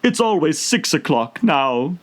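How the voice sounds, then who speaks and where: A man's voice: on the high side, sing-song, measured pace, pitched above the performer's own voice. A man voicing a mad, touchy, mournful hatter with a theatrical, contemptuous manner in a storybook reading.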